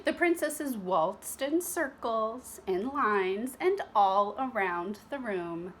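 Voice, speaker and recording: A woman's voice: fairly high pitch, expressive, slow pace, slight background noise. A woman narrating a children's storybook expressively, with teacherly asides to young listeners. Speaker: storybook reader.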